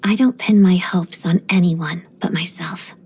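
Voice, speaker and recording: An actress voicing a reserved, stoic young ballerina in a video game, speaking softly and breathily in a measured, restrained way. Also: female voice, on the low side, slightly rough, exaggerated voice. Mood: stoic, resolute. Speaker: video game character voice actor. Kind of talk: scripted game voice lines, introspective monologue.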